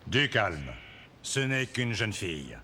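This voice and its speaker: A man playing a calm, courteous colonial commander in a dubbed cartoon, speaking politely and with assurance. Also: on the low side, slow pace, a little hiss or hum, full character voice. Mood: calm, commanding. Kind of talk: dubbed animated film acting.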